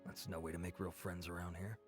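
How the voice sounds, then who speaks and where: An actor playing a frank, measured male research colleague in a video game. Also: low voice, little pitch movement, music in the background, close to an everyday voice.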